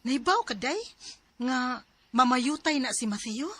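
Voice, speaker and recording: A woman's voice: high-pitched, lively intonation, measured pace, very noisy. An actress with a Cebuano accent playing a gossipy, hesitant young female friend in a radio drama. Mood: confiding.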